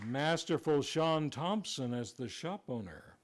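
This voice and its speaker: A man's voice: a little high, animated, unhurried, a little hiss or hum. A man hosting a radio show with formal, theatrical, scripted old-time announcing.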